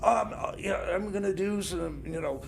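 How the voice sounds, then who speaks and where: A man playing an excitable, evasive Southern-rock fan sidekick in improvised radio comedy, stammering and rambling in halting bursts. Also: high voice, vocal fry, crowd noise. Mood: evasive, hesitant. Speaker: comic sidekick on music show.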